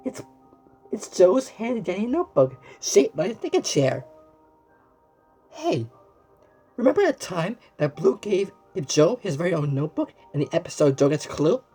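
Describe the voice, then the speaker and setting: A woman's voice: on the low side, sing-song, mellow, husky, soft background music. A woman reading a children's storybook aloud, exclaiming, asking the listener questions and often stumbling over and repeating words. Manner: exclamatory, asks the listener questions and pauses as if answered. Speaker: storybook reader. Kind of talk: animated children's read-aloud with asides to the viewer.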